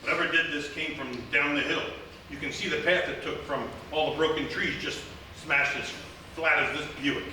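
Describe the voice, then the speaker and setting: A laconic, wry American small-town sheriff in a radio comedy. His voice is slightly high and very forceful, with dry, folksy authority. He sounds far from the mic in an echoing theatre. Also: fairly even intonation, moderate pace. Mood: grave. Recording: echoey live theatre, far mic, faint noise.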